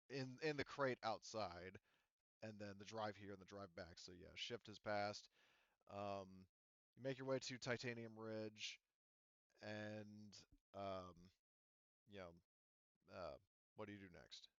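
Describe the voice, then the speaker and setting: A man with a lowish, smooth voice improvises as a tabletop game master. He pauses and gropes for words as he lays out the scene. The sound is dry and slightly dull. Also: a bit bright, dry recording. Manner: hesitant, halting, thinking aloud. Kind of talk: casual improvised narration of a tabletop roleplaying game.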